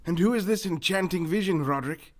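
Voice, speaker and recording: An actor playing a pompous, wealthy newspaper boss with a grandiose, theatrical manner in a comedic radio play. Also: male voice, high voice, a little fast, close to an everyday voice. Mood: grandly hospitable.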